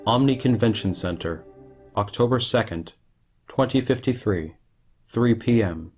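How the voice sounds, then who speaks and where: An actor playing an impersonal announcer who reads clipped log entries in a radio play. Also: male voice, on the low side, lively intonation, a little slow, a little mellow, faint music behind.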